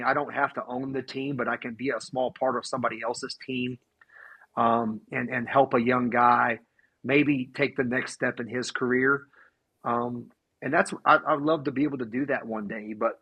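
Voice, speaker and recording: Husky-voiced man in his forties, earnest. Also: mid-range voice, dull audio. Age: 40s. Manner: earnest, halting with many restarts. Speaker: racing driver and team owner. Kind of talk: casual podcast interview.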